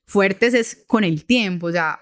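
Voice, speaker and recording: A woman from Medellín with a Colombian accent, co-hosting a podcast and recounting a story in a relaxed, hesitant way, checking in with her listener. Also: mid-range voice, unhurried. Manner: hesitant, many restarts and fillers, checks in with "¿sí?". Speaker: podcast co-host. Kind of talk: casual conversational storytelling.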